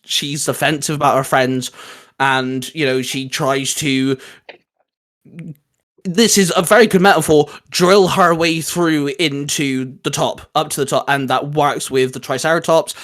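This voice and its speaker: A man's voice: fairly high pitch, steady tone, a little slow, a bit bright. A man chatting casually as co-host of a fan countdown, enthusiastic and opinionated, often tripping over his words. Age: adult, father of a young son. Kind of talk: casual geeky chat.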